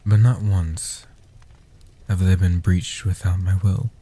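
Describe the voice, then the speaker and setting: Casting: male actor as ancient guardian vampire for comfort audio. Very low, dark, soft-spoken, patient and protective, with hushed pauses. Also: little pitch movement, husky, full character voice. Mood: gentle, reassuring. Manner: slow, hushed delivery with long pauses.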